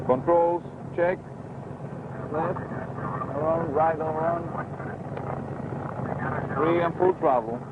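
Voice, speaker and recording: A man's voice: fairly high pitch, very slow, pauses often. Tinny, engine-drowned man calling out pilot checks. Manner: clipped, methodical. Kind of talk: procedural checklist callouts. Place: small aircraft cockpit. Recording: tinny film audio, loud aircraft noise. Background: loud engine drone.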